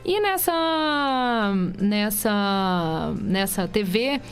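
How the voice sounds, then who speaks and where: A woman's voice: mid-range voice, animated, drawn-out words, faint music behind. A woman in her thirties with a light Minas Gerais accent, chatting as a guest in a radio interview and telling her story with lots of restarts. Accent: light Minas Gerais (mineiro). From Minas Gerais, Brazil. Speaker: guest, TV journalist and presenter. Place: radio studio.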